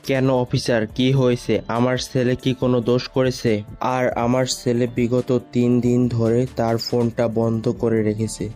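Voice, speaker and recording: Guy as worried old businessman dad in an audio story, dark soft tone, even pitch. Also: measured pace, faint music behind, close to an everyday voice. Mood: worried, pleading. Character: worried elderly businessman father. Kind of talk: dramatized audio story acting.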